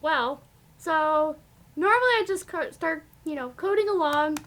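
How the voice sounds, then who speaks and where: Female performer as chirpy bird mascot, tiny very high voice, conversational coding supervisor. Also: unhurried, fairly bright tone. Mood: explanatory.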